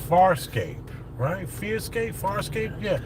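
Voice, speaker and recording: A middle-aged man chats from the driver's seat, emphatic and playful, his voice sitting a little high. Heavy car and traffic noise rumbles underneath everything he says. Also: takes it slowly. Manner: animated, joking, emphatic. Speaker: host. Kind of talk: casual chat while driving. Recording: loud road noise from car. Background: loud traffic and car noise.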